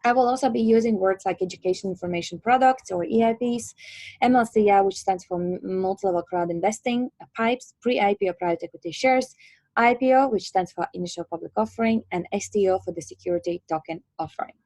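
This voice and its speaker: A woman with a Latvian accent giving an online presentation, explaining in a hesitant, halting way with many filler words. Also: medium pitch, dark tone, no echo, poor audio. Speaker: presenter and trainer.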